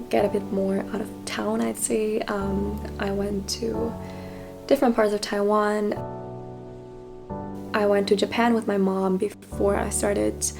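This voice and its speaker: A young woman speaks in a relaxed vlog voice, candid and self-deprecating, with frequent little laughs. Her voice sits mid-range with a noticeable gravelly fry at phrase ends. Clear background music plays beneath her. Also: medium pitch. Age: young adult. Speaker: vlogger. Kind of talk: casual reflective vlog talk.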